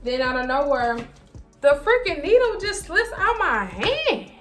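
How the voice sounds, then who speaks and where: A young woman telling a casual story to viewers in an animated way, acting out her own reactions and quotes as she goes. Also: sits high, a little slow, faint music behind. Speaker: vlogger.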